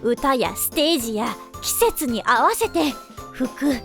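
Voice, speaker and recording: A woman playing a lively teenage schoolgirl idol in an anime-style voice drama, self-assured, feminine and playfully scolding. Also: high-pitched, slow pace, soft background music, heavily acted. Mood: persuasive. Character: lively teenage schoolgirl pop idol, sociable, teasing friend.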